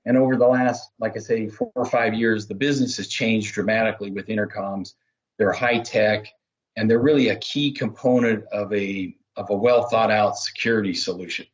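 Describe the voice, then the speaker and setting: A man giving explanatory interview answers as a business development manager, halting at times and laying out points one by one. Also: lowish voice, fairly even intonation, low-quality recording.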